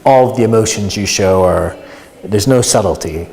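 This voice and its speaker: Very deep, creaky man in an echoing hall. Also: normal speed, a little mellow. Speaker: amateur pantomime performer being interviewed. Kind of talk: casual interview answers. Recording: long echoing hall reverb.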